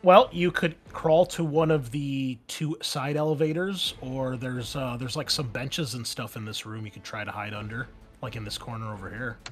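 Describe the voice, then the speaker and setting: A man acting as game master, talking through rules and narrating casually, often halting as he thinks aloud. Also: medium pitch, fairly even intonation. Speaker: game master of an online tabletop game. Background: music.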